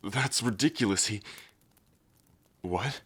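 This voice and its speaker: An earnest young dragon heir with a deep, low voice, played by a man. He speaks softly and sincerely in a romantic audio drama, recorded dry and close. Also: takes it slowly, husky, dry recording. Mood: anxious. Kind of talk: ASMR roleplay.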